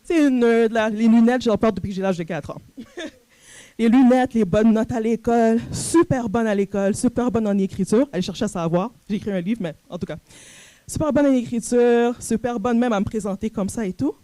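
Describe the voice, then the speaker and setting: A woman's voice: a little high, a little fast, mellow, dry recording. Quebec-accented woman giving an informal talk. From Montreal, Canada. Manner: conversational, uses rhetorical questions. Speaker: personal image coach and speaker. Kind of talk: informal motivational talk on stage.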